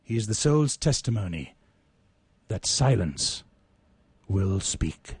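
A man's voice: fairly low pitch, very animated, takes it slowly, husky, no room sound. A man co-hosting a radio theatre show as a poet, with a theatrical streak in how he speaks. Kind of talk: casual reminiscing and dramatic poetry recital.

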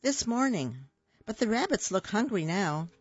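A woman's voice: mid-range voice, big pitch swings, normal speed, slightly rough, no echo, voice pushed up. Lady reading a wide-eyed little boy, eager, always asking stuff, kinda muffled radio sound. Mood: curious.

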